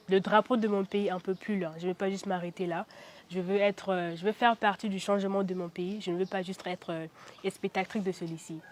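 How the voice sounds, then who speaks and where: A young woman from the Central African Republic giving casual interview answers, chatty and often hesitating with "euh". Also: mid-range voice. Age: young adult. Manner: chatty, frequent hesitations with "euh", laughs now and then. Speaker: interviewee, beauty pageant titleholder.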